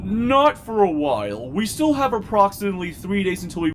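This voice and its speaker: An actor playing a careful, patient space traveler in a cartoon, measured and gently chiding. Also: medium pitch, big pitch swings, slight background noise, close to an everyday voice. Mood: calm.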